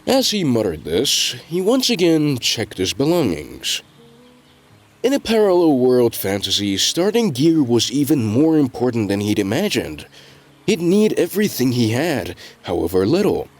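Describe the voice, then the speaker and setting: Male story narrator with a lively range. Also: medium pitch, normal speed. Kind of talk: scripted dramatic audiobook narration.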